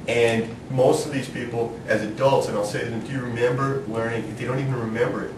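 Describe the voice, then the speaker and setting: A man from New York leading a seminar in a classroom, talking informally, joking and asking the students questions. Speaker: seminar leader. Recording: roomy classroom from a distance with background rumble.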